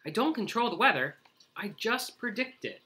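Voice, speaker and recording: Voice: mid-range voice, very animated, normal speed, bright, forceful tone, pitched above the performer's own voice. A man voicing an anxious, people-pleasing groundhog in a children's storybook, earnest and pleading. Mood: worried.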